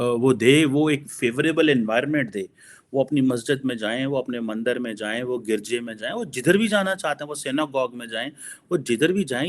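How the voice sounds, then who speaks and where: A man with a Pakistani accent explains his opinions as a political analyst in informal commentary, mixing in English phrases. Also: mid-range voice.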